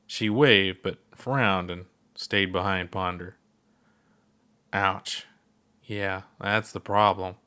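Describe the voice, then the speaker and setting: A man reading his own fan story aloud in a calm, even storytelling manner. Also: sits low, slow, clear, resonant tone. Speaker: narrator.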